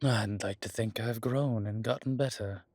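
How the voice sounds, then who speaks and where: A man playing a centuries-old vampire, a genial but weary former soldier who speaks haltingly and deliberately in an audio roleplay. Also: on the low side, a little mellow, no echo, studio quality, lightly acted. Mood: wry, defensive. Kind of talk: dramatic audio roleplay acting.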